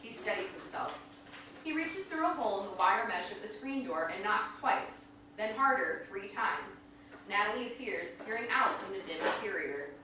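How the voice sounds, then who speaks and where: A woman's voice: mid-range voice, animated, normal speed. A woman reading scripted stage directions as narrator of a live staged reading. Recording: distant, very echoey room, faint noise.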